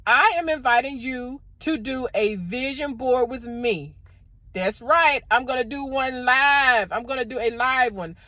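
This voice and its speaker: Loud woman, motivational vlogger. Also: a little slow, no room sound. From American South. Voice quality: loud deep speaking voice. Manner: warm preacherly encouragement, addresses viewers as y'all. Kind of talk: casual motivational talk to camera. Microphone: clip-on microphone.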